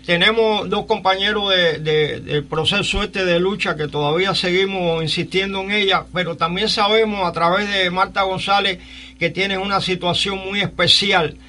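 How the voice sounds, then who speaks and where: An older man with a Cuban accent hosting a live radio talk show, speaking haltingly and repeating phrases. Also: sits high, steady tone, pushed and bright. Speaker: radio host. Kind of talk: live call-in talk, recounting and interviewing.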